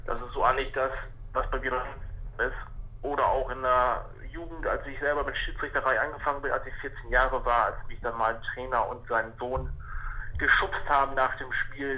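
Male, thirties. Very sharp, bright voice, mid pitch, unscripted interviewee speaking over the telephone. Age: 30s. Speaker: football referee, interview guest. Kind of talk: spontaneous phone interview. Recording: telephone line.

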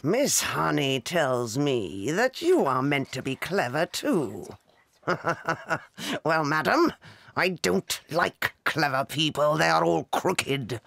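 An actress playing a tyrannical, child-hating headmistress with a gravelly British voice, imperious and contemptuous, in a dramatized children's audiobook. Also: female voice, low voice, sing-song, slow, fairly bright tone, dry recording, full character voice. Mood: menacing disdain.

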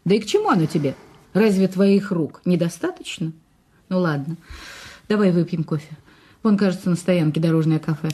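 An actress in a radio drama playing a domineering, mocking wealthy wife, imperious and needling. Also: female voice, on the low side, expressive, brisk, warm tone, breathy edge. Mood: assertive.

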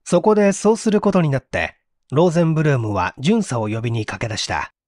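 A man's voice: on the high side, expressive, fairly quick, a little mellow, dry recording, clean studio sound. A man narrating a mystery story from a script in a theatrical, suspenseful manner. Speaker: audiobook narrator, stage actor.

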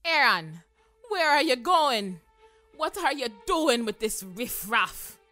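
A woman's voice: high voice, very animated, slow pace, pushed and bright, faint music behind, heavily acted. A woman playing an anxious young mermaid in an audio drama, urgent and pleading with her friend. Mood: worried.

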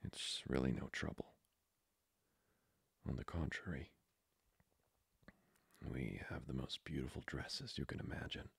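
Casting: male actor as seductive aristocratic vampire for ASMR roleplay, extremely low gravelly voice, courtly and intimate. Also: a bit bright, no room sound, exaggerated voice. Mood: courteous, flirtatious. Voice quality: breathy, whispery, gravelly. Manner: formal, slow, seductively polite. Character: flirty, courtly male vampire lord, hungering for blood.

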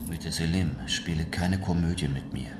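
A man playing a cool, shrewd adventurer in a radio play, speaking calmly and with deliberate insistence. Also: low voice, lightly acted. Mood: firm, insistent. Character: cool, shrewd European adventurer driving a hard bargain. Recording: echoey room with background noise.

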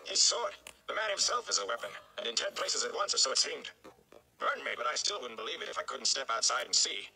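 A flustered, stout old mayor played by a male reader, emphatic and excitable. His voice swoops about with a forceful, bright tone and some gravel, in storybook style. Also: mid-range voice, brisk, a little hiss or hum, voice pushed up, full character voice, low-quality recording. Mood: excited.

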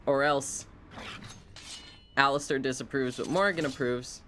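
A man's voice: mid-range voice, steady tone, a little slow, pushed and bright. A man casually commentating on a game live, with playful, chatty asides to his viewers. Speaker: game streamer. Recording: clear background noise. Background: video game sound.

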